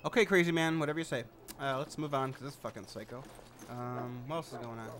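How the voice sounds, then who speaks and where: A male gamer commentates casually on his own play. His voice sits at an ordinary pitch, and he is flippant and foul-mouthed, often muttering, with faint noise behind him. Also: steady tone, quick pace, fairly bright tone. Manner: sweary, flippant, muttering to himself.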